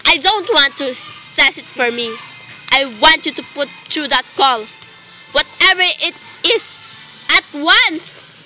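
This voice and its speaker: Woman as nervous bedridden wife, piercingly bright high voice, slow emphatic phrasing, melodramatic radio drama, indignant. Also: lively intonation, soft background music, full character voice, poor audio. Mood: exasperated, nervous. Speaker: student actor in a radio play. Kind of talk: radio play acting.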